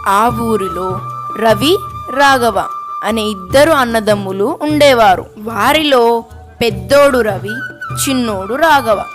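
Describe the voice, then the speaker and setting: A woman's voice: a little high, slow pace. A woman narrating a scripted moral story for children. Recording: music under the voice. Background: music.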